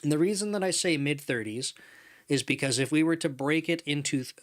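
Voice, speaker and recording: A man's voice: a little high, a little fast. A man in his thirties hosting a casual livestream from a home studio, chatting at length, laughing often and reading chat messages aloud. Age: 30s. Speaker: livestream host. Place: home studio room with couch and neon lights. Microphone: desk microphone in front.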